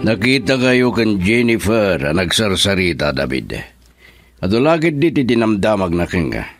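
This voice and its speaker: Low, animated Filipino husband. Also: male voice, moderate pace, faint music behind. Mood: guarded, irritated. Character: guarded, denying husband and businessman. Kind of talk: Ilocano radio drama acting.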